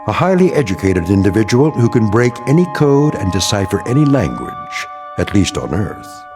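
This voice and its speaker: A man narrating an anthology audio drama as a wry, portentous storyteller, deliberate and dramatically paused. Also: lowish voice, very animated, over music. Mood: wry, ominous. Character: wry, portentous male storyteller introducing a strange tale.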